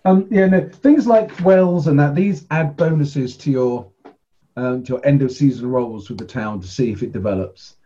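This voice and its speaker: A man's voice: medium pitch, moderate pace, mellow, highs cut off. A man casually running a tabletop role-playing game and explaining the rules as game master.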